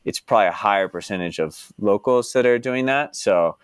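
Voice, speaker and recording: A young man from Texas talks in a relaxed, meandering way, leaning constantly on "like" and "you know" as he works through his thoughts. His voice sits fairly low and comes across close and dry, with no room sound around it. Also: a little fast, smooth, dry recording. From Dallas, United States. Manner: rambling, heavy use of "like" and "you know". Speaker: podcast guest. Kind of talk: casual interview chat.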